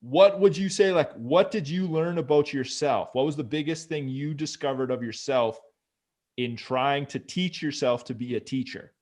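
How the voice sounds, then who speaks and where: A man's voice: a little high, moderate pace, a little mellow. A man hosting a podcast, asking questions in a casual, friendly way with fillers like "man" and "like". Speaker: podcast host. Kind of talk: conversational interview.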